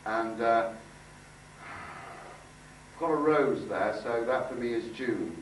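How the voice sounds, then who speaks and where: Bloke giving a workshop talk, halting and chatty, repeats himself, roomy echo, bit of background noise. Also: male voice, medium pitch. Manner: halting, conversational, repeats phrases for emphasis. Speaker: workshop speaker and aura healer. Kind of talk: informal talk with demonstration and guided visualisation. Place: indoor room before a group. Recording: echoey room, distant mic, faint noise.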